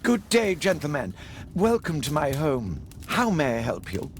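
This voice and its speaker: An actor playing a courteous, earnest, sickly aristocratic philanthropist in a video game, genteel and hesitant. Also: male voice, a little high, wildly swooping pitch, a little slow, a little hiss or hum.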